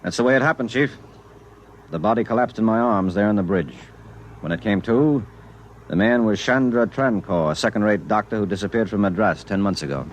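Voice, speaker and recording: An actor playing an earnest, stubborn agent in a 1950s radio drama. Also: male voice, on the low side, a little fast, close to an everyday voice. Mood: earnest, determined. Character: earnest, stubborn male agent confiding in his chief. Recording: noisy vintage radio broadcast recording.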